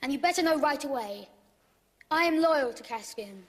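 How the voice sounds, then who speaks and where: A woman's voice: high voice, expressive, moderate pace, a bit bright. A woman playing a loyal young boy adventurer in an audio drama, speaking earnestly and declaratively. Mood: resolute. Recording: long hall-like reverb.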